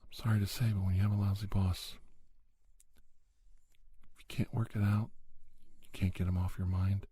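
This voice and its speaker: A middle-aged man giving soft-spoken, encouraging, folksy advice in an ASMR role-play, often slipping into a whisper. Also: low-pitched, little pitch movement, moderate pace, husky. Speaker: podcast host playing an advice-giving doctor. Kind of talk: ASMR, one-sided role-play conversation.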